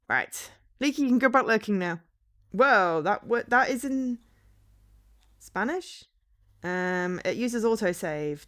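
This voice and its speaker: A woman streaming a game while she has a cold, chatting casually and hesitantly, with lots of ums and stumbles over names as she reads out a game description. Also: medium pitch. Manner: chatty and hesitant, full of ums, unsure of name pronunciations. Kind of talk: casual livestream chat, reading a game blurb aloud.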